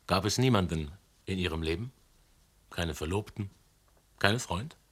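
A husky deep-voiced police official. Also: male voice, expressive, a bit bright, heavily acted. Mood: probing. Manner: slow, probing questions. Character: gruff low-voiced male police official. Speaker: senior police officer questioning a witness. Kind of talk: radio drama acting.

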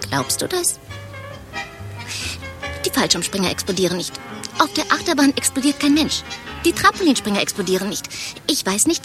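Brash office secretary, very lively pitch, gossipy, bawdy, exclamatory, radio drama, music behind. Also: female voice. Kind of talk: German radio play acting.

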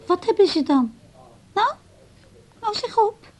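A woman's voice: extremely high pitch, big pitch swings, slow pace, a little mellow, full character voice. A woman playing a blunt, cheeky young girl in a radio play, with a teasing way of talking.

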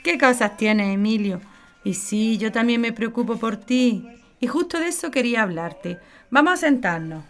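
A woman's voice: a little high, expressive, moderate pace. A woman with an accent from Spain playing a caring, insistent older mother in a radio soap, coaxing and matter-of-fact. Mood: fond, matter-of-fact. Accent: Spanish from Spain.

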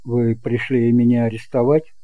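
Male actor as a nervous accused physician, outwardly calm and resigned, muted tone, homemade detective radio play. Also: medium pitch, normal speed, dry recording, lightly acted. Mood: guarded. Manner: resigned, tense under forced calm. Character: nervous doctor suspected of poisoning, outwardly calm. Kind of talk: amateur audio drama acting.

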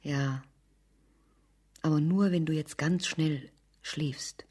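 An actress playing an anxious mother in a radio drama, speaking in a hushed whisper. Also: low-pitched, slow pace, husky, full character voice. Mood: soothing, tired. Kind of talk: German radio drama acting.

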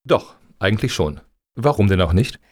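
An actor as a blunt male pressure-group spokesman in a radio satire. His voice is fairly low and very lively in pitch. He sounds self-assured and pointed. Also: moderate pace. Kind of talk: satirical radio play acting.

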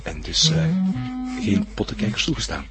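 A Flemish actor playing a polite, curious visitor who speaks tactfully and a little hesitantly in a television drama. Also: male voice, on the low side, very animated, moderate pace, gravelly, loud music behind the voice, heavily acted. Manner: hesitant, tactful, formal wording. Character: polite, curious male visitor with careful words. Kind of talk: naturalistic TV crime drama acting.

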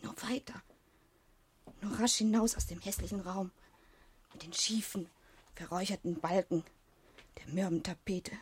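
An actress playing an embittered young post-office assistant in a radio play, speaking curtly and scornfully in a husky voice. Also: female voice, mid-range voice, normal speed, long pauses, a little mellow. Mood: bitter. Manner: curt, scornful. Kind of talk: German radio play acting.

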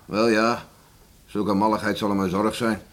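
An actor playing a gruff, stubborn village beachcomber in a Dutch radio play, grumbling and plain-spoken. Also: male voice, lowish voice, flat delivery, moderate pace, no echo, close to an everyday voice. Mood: puzzled, dismissive. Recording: noisy old radio play recording.

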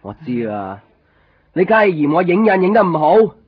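A man's voice: high-pitched, sing-song, moderate pace, crowd noise. An actor playing a lovesick, obsessive young man in a Cantonese radio drama with a Hong Kong accent, plaintive and insistent. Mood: agitated, accusing. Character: lovesick young copy-shop man obsessively searching for missing girlfriend. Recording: muffled 1990s radio drama recording. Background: music.

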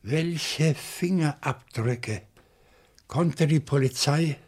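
Husky agitated professor in a radio crime play, kinda high voice, probing and accusing. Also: male voice, a little mellow, exaggerated voice. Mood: accusing, fearful. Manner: deliberate, probing, dramatic questioning. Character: agitated, accusing male professor playing detective. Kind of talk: German radio crime drama acting.

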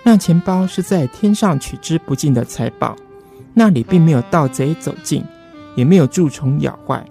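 A man hosts a late-night radio show with a very dark, mellow voice. His manner is polite and soothing as he talks and reads. Faint plucked guitar plays underneath. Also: fairly high pitch, lively intonation, moderate pace, vocal fry. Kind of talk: late-night radio hosting and scripture reading. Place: radio studio, live broadcast. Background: soft plucked guitar music.

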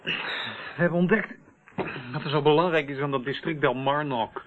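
A man plays a sceptical, harried police officer with a fairly high male voice. The Dutch radio drama has the thin, telephone-like sound of an old broadcast. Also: normal speed, lightly acted. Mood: grumbling. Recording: tinny, band-limited old radio play.

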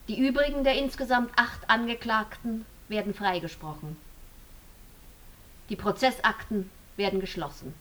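An actress playing a sober presiding judge in a German radio drama, formal and deliberate. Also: female voice, fairly high pitch, a bit bright, low-quality recording.

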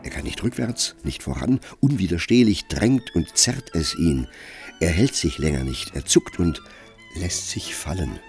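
A man narrating a literary radio play in a grave, measured and expressive manner. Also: deep voice, soft background music.

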